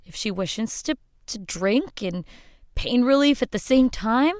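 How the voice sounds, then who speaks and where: An actress playing a wry, quick-witted small-town woman in a radio drama, halting and coaxing. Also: fairly high pitch, lively intonation, a little mellow, no echo, studio quality. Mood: placating. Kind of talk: horror mystery radio drama acting.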